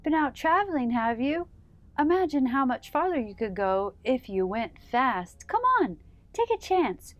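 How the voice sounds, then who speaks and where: A woman voicing a playful, teasing dolphin from a children's storybook, excitable and coaxing. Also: high voice, measured pace, dry recording, voice pushed up. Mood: eager, teasing. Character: playful, teasing dolphin urging speed and daring.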